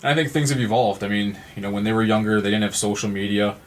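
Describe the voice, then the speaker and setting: A man's voice: lowish voice, fast talker, slight background noise. A man in his thirties speaking earnestly and conversationally as an interview guest, in a wordy way that circles back on phrases. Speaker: podcast guest from a support non-profit.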